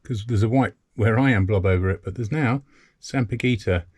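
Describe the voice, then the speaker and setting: A man's voice: on the low side, a little fast, darkish tone. A man talking casually to camera as a sailing vlogger, talking through each step of a hands-on job as he works. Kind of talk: casual narrated hands-on demonstration. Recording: clear background noise with clicks.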